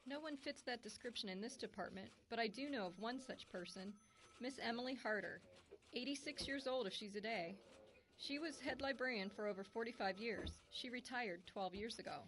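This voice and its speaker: A woman playing a brisk, youngish small-town police chief in an audio drama, matter-of-fact and dryly wry. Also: on the high side, a bit bright, lightly acted, rough recording.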